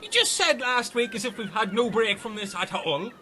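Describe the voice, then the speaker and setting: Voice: medium pitch, sing-song, normal speed, pushed and bright, slight background noise. An actor playing a pedantic castaway in a comic radio play, literal-minded and questioning. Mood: incredulous.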